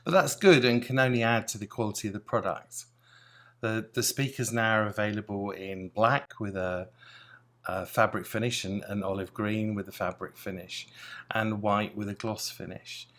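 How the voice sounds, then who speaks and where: Male presenter, middle-aged, lower register, interview host setting out details and asking questions. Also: steady tone, moderate pace, fairly bright tone.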